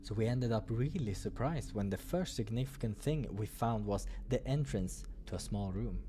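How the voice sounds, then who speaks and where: Male playthrough narrator reading story text: lowish, soft-edged dark tone, slight background noise. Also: animated, moderate pace. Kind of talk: narration of a visual novel read aloud.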